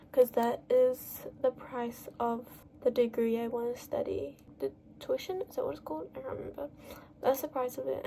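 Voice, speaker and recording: A young woman vlogging in a casual, rambling way, full of fillers, with a naturally loud voice. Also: high voice, a little hiss or hum. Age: young adult. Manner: bubbly, rambling, lots of "like". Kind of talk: casual chatty monologue.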